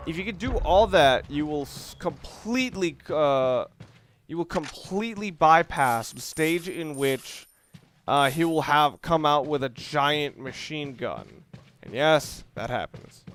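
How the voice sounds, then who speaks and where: A man's voice: fairly high pitch, expressive, slow pace, a bit bright, slight background noise. A man giving casual, rambling walkthrough commentary on a video game, correcting himself and explaining tactics as he plays. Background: video game music and sound.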